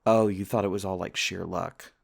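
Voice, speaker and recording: Man acting a low, creaky-voiced friendly vampire student. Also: fairly even intonation, moderate pace, heavily acted. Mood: easygoing, informative. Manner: casual, conversational, gently joking. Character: friendly, teasing male vampire university student and tour guide. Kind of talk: ASMR roleplay acting, casual chat to listener.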